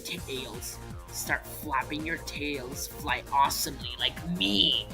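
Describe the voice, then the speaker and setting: A man's voice: medium pitch, slow pace, creaky, over music. A man voicing a cocky, boastful, foul-mouthed dragon in an amateur cartoon dub, with a brash manner. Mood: scornful, bossy. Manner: brash, bragging. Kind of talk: amateur comic dub character acting.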